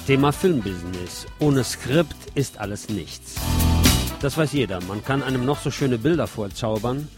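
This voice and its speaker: A man's voice: medium pitch, animated, moderate pace, loud music behind the voice. A man presenting a radio magazine as host, reading scripted narration with personal asides.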